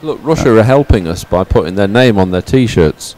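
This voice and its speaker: A man's voice: fairly low pitch, expressive, normal speed, a little mellow. A man giving chatty, encouraging live commentary as a sports commentator at a boat race. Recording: outdoor background noise.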